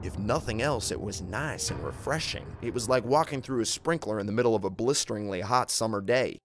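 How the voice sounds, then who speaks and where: A man narrating a scripted first-person horror story in an expressive, suspenseful storytelling manner. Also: on the low side, fairly quick, a little hiss or hum. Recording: music bed under narration. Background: background music.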